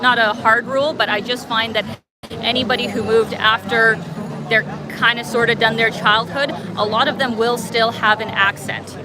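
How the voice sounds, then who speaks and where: A woman's voice: on the high side, low-quality recording. A young woman from Canada, chatting casually with viewers on a livestream and rambling with lots of fillers. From Canada, born in Russia. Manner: rambling, frequent fillers, thinking aloud. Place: outdoors at a city street march. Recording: outdoor livestream over loud music. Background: loud music from the march.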